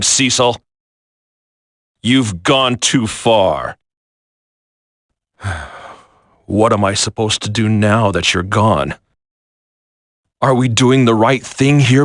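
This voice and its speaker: A grim male commander turned renegade, from a video game, acted by a man. He speaks very deliberately in a mid-pitched voice, solemn and sorrowful, with a dry studio sound. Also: dry recording, close to an everyday voice. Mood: stern, then sorrowful doubt. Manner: measured, solemn, brooding.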